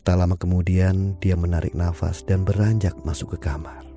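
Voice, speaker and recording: Deep, dark-voiced male storyteller. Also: soft background music. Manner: calm, measured storytelling. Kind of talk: scripted audio drama narration.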